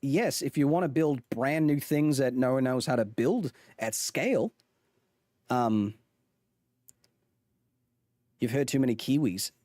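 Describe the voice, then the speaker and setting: A man's voice: medium pitch, lively intonation, a little slow, long pauses, darkish tone, no room sound, clean studio sound. A man streaming to his chat, putting on a mock Australian accent as he rambles through a chart with lots of restarts. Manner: rambling, with frequent restarts and self-corrections. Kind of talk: casual livestream commentary on a chart.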